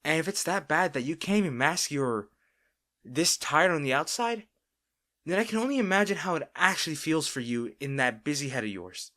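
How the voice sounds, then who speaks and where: A man playing a caring boyfriend in an intimate audio roleplay, talking tenderly and with gentle insistence to his partner. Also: a little high, expressive, normal speed. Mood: concerned, earnest. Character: caring, gently firm young boyfriend soothing an overworked partner.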